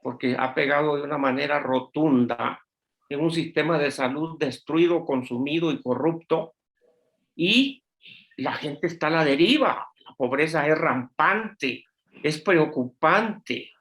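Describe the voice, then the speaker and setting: A man from Honduras speaks on a panel with a somewhat high voice. He talks earnestly as a physician and often trips back over his words, repeating them before he goes on. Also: unhurried, a bit bright. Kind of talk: panel discussion remarks.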